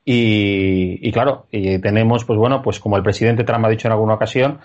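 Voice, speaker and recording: A man's voice: fairly low pitch, steady tone, brisk, a little mellow, muffled recording. A man with a Peninsular Spanish accent interviewing a guest, talking at length with many fillers. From Spain. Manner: discursive, rambling, with fillers. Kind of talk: political interview.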